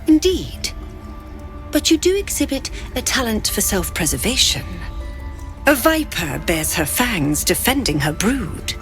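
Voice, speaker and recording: An actress playing a cold, zealous and menacing druid leader in a fantasy video game, with grave, deliberate pronouncements. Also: female voice, fairly high pitch, sing-song, faint music behind. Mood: imperious, sly.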